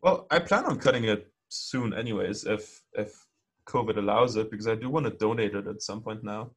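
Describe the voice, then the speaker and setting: A man's voice: lowish voice, brisk, husky. A man co-hosting a podcast in casual chat, rambling with lots of fillers. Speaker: podcast co-host.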